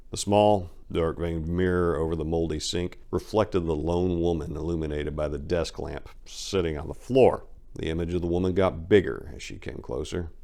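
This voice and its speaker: A man's voice: low-pitched, a little mellow. A man giving a theatrical, sardonic dramatic reading of a gritty crime story as its narrator.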